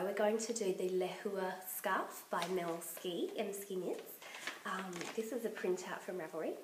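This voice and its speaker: A woman hosting a knitting podcast in casual chat, her voice croaky from bronchitis, chatty and enthusiastic. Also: medium pitch, echoey room, a little hiss or hum, rough recording. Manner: chatty and enthusiastic, laughs often.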